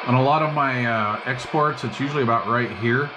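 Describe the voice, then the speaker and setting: Male hobbyist host, medium pitch, unscripted and slangy, thinking out loud, clearly noisy recording with radio hiss behind. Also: brisk. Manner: rambling, thinking aloud, slangy. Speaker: hobbyist host demonstrating and testing an old CB radio. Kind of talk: casual unscripted bench-test chat. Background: CB radio receiver hiss and chatter.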